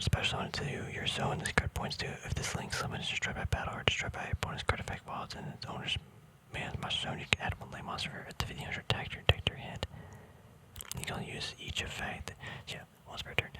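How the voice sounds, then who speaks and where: A man speaking in a soft, breathy whisper in a casual, relaxed ASMR style, thinking aloud close to the microphone. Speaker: ASMR video creator. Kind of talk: whispered ASMR, casual rambling card showcase.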